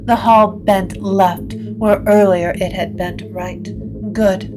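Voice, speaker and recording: A woman narrating scripted supernatural fiction in a measured, literary storytelling manner. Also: medium pitch, steady tone, low-quality recording.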